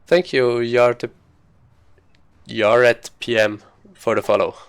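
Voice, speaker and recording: A man's voice: mid-range voice, steady tone, slow, smooth. A man giving casual live commentary as he streams a speedrun, informally thinking aloud while he plays.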